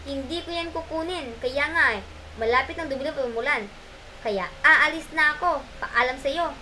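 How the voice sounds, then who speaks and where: Puppet-show turtle, acted by a woman: high-voiced, plaintive, quietly clever, faint noise behind. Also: moderate pace, low-quality recording. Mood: indignant. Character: gentle but crafty turtle, wronged and clever. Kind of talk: amateur puppet show acting.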